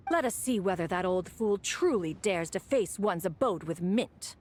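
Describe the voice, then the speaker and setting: A woman's voice: fairly low pitch, expressive, moderate pace, darkish tone. A woman voicing a proud, aloof immortal adept in a video game, speaking formally and haughtily. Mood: resolute. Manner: formal, haughty, refers to self as one. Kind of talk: game voice acting.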